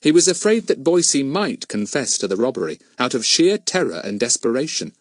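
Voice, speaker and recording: A man reading a children's mystery audiobook in an expressive storytelling manner. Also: mid-range voice, a little fast, dry recording. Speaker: audiobook narrator.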